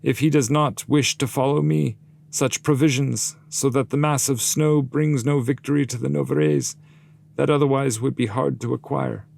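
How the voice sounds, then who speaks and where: A man's voice: medium pitch, fairly even intonation, normal speed, gravelly, darkish tone, voice pushed up. A man voicing a pompous, mutilated damned soul who gives commanding warnings in a dramatic epic poetry reading. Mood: urgent, commanding. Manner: declamatory.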